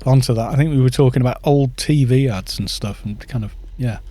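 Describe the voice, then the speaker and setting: A man's voice: medium pitch, brisk, mellow, no echo. A British man in his fifties chatting in a rambling way while streaming a game and reading out viewer chat. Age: 50s. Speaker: gaming streamer.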